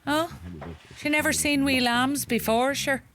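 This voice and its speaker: An actress playing a teasing, sharp-tongued middle-aged widowed mother in a radio play, with a Donegal Irish accent. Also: high-pitched, fairly even intonation, unhurried. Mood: curious. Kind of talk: comic radio play acting.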